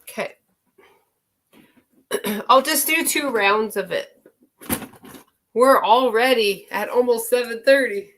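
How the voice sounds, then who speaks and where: A woman with a raspy, hoarse voice from a cold, chatting slowly and chuckling while leading a drumming circle on a video call. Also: on the high side, background noise. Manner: slow, chuckling, unhurried. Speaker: drumming circle song leader. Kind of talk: casual chat and hand-drum singing. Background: hand drum.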